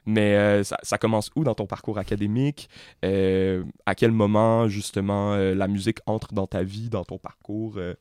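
A young man with a Québécois accent hosts a casual podcast, friendly and halting, often restarting his sentences. His voice is on the low side. The recording is dry and close-miked. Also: level tone, darkish tone, smooth, dry recording. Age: young adult. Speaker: podcast host, music student.

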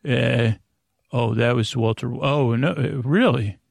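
A man hosting a sleep podcast with a rambling, improvised monologue, meandering and halting as he drifts into tangents. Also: medium pitch, gravelly, dry recording, clean studio sound. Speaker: podcast host.

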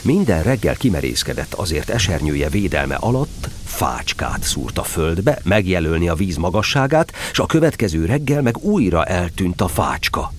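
A man's voice: low voice, sing-song, hardly any pauses, a little hiss or hum. A man narrating a children's story expressively as part of an audio drama.

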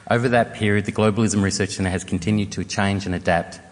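A man with a very low, deep voice delivers a formal, read-from-notes introduction for an evening lecture. His speech rings with the long echo of a large lecture hall. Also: fairly even intonation, fast. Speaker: event chair introducing the speaker.

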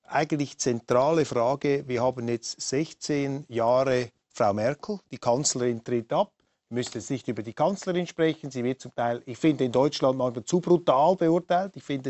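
A man's voice: medium pitch, darkish tone, dry recording. A man hosting and moderating a political debate, asking probing, musing questions with restarts. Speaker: host and moderator.

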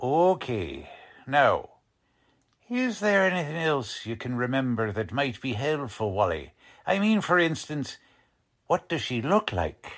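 Cocky, swooping 1940s police lieutenant. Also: male voice, mid-range voice, very animated, measured pace, a bit bright, heavily acted. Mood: brusque, probing. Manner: brusque, slangy, ungrammatical tough-cop talk. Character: cocky, condescending male police lieutenant, brusque 1940s gumshoe. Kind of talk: 1940s radio drama acting.

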